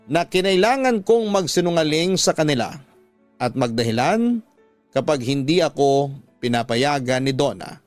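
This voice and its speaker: A man's voice: fairly high pitch, no room sound. A man with a Filipino accent narrating a scripted first-person story in the style of a radio drama.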